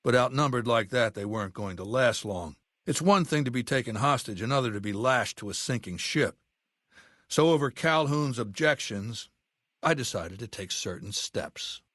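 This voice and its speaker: An actor playing an arrogant, commanding middle-aged warlord in a video game, speaking with condescending, deliberate diction. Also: male voice, medium pitch, a bit bright, no echo, studio quality, natural voice. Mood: contemptuous storytelling.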